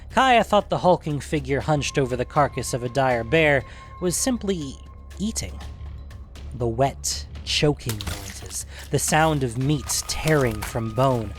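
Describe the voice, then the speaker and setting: A man narrating a scripted fantasy story in a measured, suspenseful, dramatic audiobook manner. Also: medium pitch.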